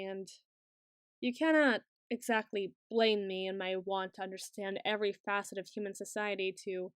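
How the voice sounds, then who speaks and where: A woman's voice: fairly high pitch, dry recording, clean studio sound. A woman playing an awkward, bookish, secretly obsessive student in an ASMR roleplay, speaking in stilted, over-formal phrases with some hesitation. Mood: clinical, apologetic.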